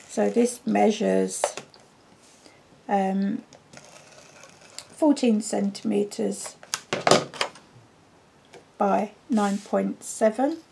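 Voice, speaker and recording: A woman, croaky from a cold, chatting her way through a card-making tutorial with frequent ums. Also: medium pitch, dark tone, a little hiss or hum. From United Kingdom. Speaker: craft demonstrator.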